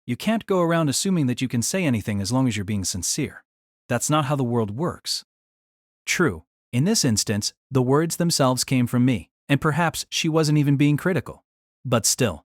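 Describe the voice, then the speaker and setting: A man's voice: medium pitch, animated, moderate pace, dry recording, clean studio sound, natural voice. An actor playing a wry, exasperated young man, delivering wordy first-person story narration and dialogue in a deliberating, self-conscious way. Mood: dry, reasoning.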